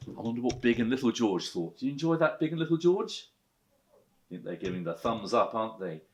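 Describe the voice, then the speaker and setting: A man in the role of a headteacher, reading a children's story with animated narration. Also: mid-range voice, brisk, muffled recording.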